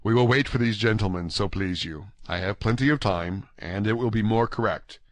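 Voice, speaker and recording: A man voicing a gracious, dignified, wry gentleman musketeer in audiobook dialogue, with a measured and formal manner. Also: on the low side, no echo, natural voice. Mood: gracious, approving.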